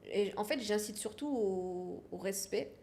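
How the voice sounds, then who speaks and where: A woman's voice: mid-range voice, measured pace, gravelly, dark tone. A woman from France, a podcast guest, talking frankly in a casual interview and repeating her phrases for emphasis.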